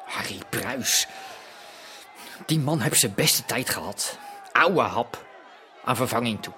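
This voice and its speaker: An actor playing a pushy, cocky underworld wheeler-dealer in a radio drama, talking persuasively. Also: male voice, mid-range voice, lively intonation, takes it slowly, bright, forceful tone, some chatter behind, a little hiss or hum. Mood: scornful. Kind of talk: naturalistic radio drama acting.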